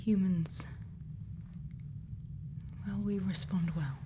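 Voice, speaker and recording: A woman doing an ASMR roleplay as a gentle scientist, speaking in a breathy whisper and soft murmur, slow and deliberate with long pauses. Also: mid-range voice, steady tone, noisy background. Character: gentle scientist questioning a robot friend. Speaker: ASMR performer.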